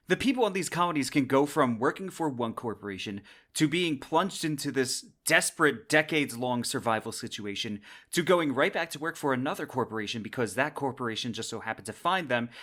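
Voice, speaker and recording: Male podcast host, mid-pitched, for scripted explainer commentary with wry emphasis. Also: fairly bright tone.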